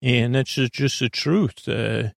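A man with a mid-pitched voice and a gravelly, fry-laden edge, rambling through a bedtime monologue. He halts, restarts and meanders, and the sound is close and dry with no room around it. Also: dry recording. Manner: halting, meandering, with many fillers and restarts. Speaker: podcast host. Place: small studio.

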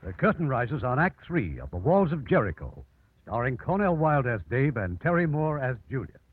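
A man's voice: mid-range voice, big pitch swings, moderate pace, loud music behind the voice. A man narrating a 1950s radio drama from a script. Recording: muffled old 1950s radio broadcast.

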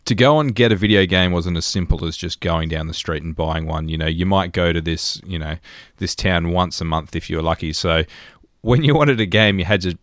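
Man, thirties, Australian accent, low-pitched voice, casual podcast storytelling, dry close sound. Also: brisk, hardly any pauses, a bit bright, no room sound. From rural New South Wales, Australia. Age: 30s. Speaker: podcast co-host.

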